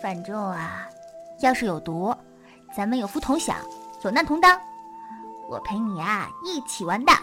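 A woman acting an affectionate, eager schoolgirl in a modern audio drama, with an expressive, earnest, girlish delivery. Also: medium pitch, normal speed, pauses often, over music. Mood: playful, curious. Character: affectionate, eager schoolgirl, devoted to her best friend.

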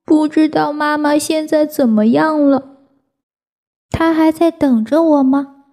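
Woman voicing a teary baby dinosaur, high childish voice, slow, soft and mellow. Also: soft background music. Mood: sad. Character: tearful little baby dinosaur, sweet and polite.

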